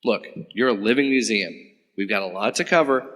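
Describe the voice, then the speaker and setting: A man's voice: on the low side, fairly quick, a bit bright, long reverb tail. A man playing a sarcastic young agent. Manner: sarcastic, flustered. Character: wisecracking young male government agent. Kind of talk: audio drama acting.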